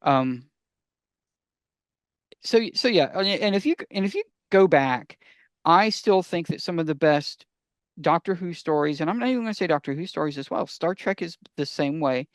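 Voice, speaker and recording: A man's voice: a little high, expressive, moderate pace, no echo, clean studio sound. A man co-hosting a casual podcast, explaining things emphatically and often posing questions that he answers himself. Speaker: podcast co-host.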